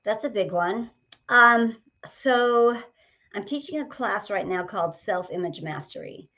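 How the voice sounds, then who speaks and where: Woman, late 60s, energetic motivational coach, upbeat storyteller, slightly dull lo-fi sound. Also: medium pitch, unhurried. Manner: energetic, upbeat, talks in stories and examples. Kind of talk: podcast conversation.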